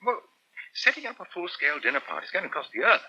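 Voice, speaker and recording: A middle-class English husband in an old radio soap. His voice is very tense and bright, swinging up and down as he frets with clipped, wounded pride. The recording is old and poor. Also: sits high, very animated, fast, husky, dull audio, heavily acted. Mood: proud, fretful. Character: touchy, proud middle-class husband and father, easily wounded. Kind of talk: British radio soap acting.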